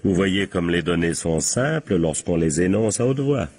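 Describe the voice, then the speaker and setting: An actor playing a shrewd, confident police captain in a French radio crime drama, methodical, probing and wryly polite. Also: male voice, low-pitched, lively intonation, normal speed, a little mellow, husky. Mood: confident, analytical.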